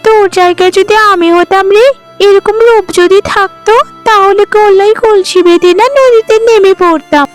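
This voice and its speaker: A woman acts out a vain young cartoon bride with an extremely high voice. She is greedy and spiteful, taunting and gloating in shrill exclamations, over background music. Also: steady tone, moderate pace. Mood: mocking, haughty. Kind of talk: animated folk-tale dialogue.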